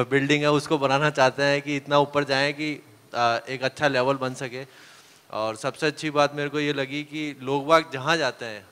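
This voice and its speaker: Earnest man with a smooth voice. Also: mid-range voice, fairly bright tone. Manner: earnest, hesitant with many fillers. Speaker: team captain. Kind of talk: press conference answers. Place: press hall. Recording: long reverb of a hall.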